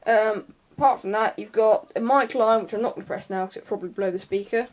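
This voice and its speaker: A woman's voice: medium pitch, steady tone, brisk, darkish tone. A young woman gives an informal, unscripted hands-on tutorial in a hesitant, offhand way, trailing off into asides. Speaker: sound tech tutorial presenter.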